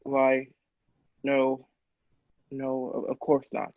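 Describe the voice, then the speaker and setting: A man's voice: mid-range voice, monotone, very slow, no echo, narrow, tinny audio. A man playing an earnest, shaken doctor in a radio play, agreeable and hesitant, with dramatic stammers. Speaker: podcast actor.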